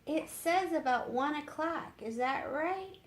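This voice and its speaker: Woman voicing a stubborn little girl, high-pitched. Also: animated, slow. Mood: eager, unsure. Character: stubborn, sleepy young girl, eager and relieved. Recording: roomy echo with faint noise.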